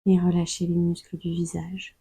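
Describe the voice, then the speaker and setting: A woman guides a meditation in a lowish, husky voice. She takes her time, calmly giving soothing instructions and leaving long pauses. The recording is dry and close. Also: no echo, rough recording. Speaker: yoga nidra guide. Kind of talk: slow guided meditation narration.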